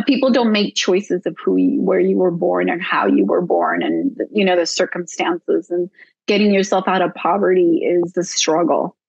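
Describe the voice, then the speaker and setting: A woman's voice: fairly low pitch, a little mellow, no room sound, lo-fi sound. A woman speaking as an interview guest, reflective and earnest, with frequent fillers. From United States. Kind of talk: casual interview conversation.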